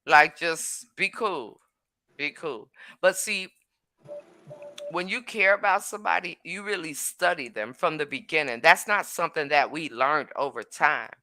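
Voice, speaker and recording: A woman's voice: sits low, unhurried, sharp tone, no room sound, faint music behind. A woman co-hosting a podcast, chatting in a laid-back way and reading out listener comments. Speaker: podcast co-host. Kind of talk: casual chat.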